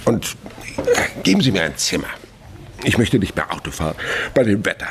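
An actor in a radio play, an older man playing a sly police inspector at the end of his career, musing, deliberate and dryly ironic. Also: on the low side, very animated, noisy background. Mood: wry, musing.

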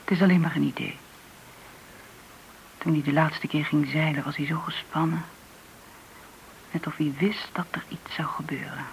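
A woman acting in a radio play as a businessman's confiding friend, tentative and at times soft-spoken. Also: low voice, steady tone, normal speed, long pauses. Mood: troubled. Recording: dull, noisy old radio recording.

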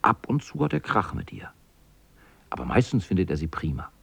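Guy narrating a radio story, husky n creaky, very dry close sound, lively intonation. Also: low-pitched, a little fast, dry recording.

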